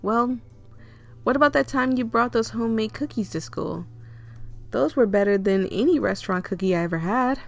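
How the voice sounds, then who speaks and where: A woman with a hoarse, failing voice voicing a friendly, curious young woman in a game. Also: on the high side, expressive, normal speed, soft background music, voice pushed up. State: hoarse, losing her voice.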